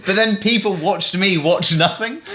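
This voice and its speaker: A man's voice: high-pitched, moderate pace, sharp tone. A man chatting casually and thinking aloud in a hesitant, musing way while playing a quiz. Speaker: online video host, quiz player.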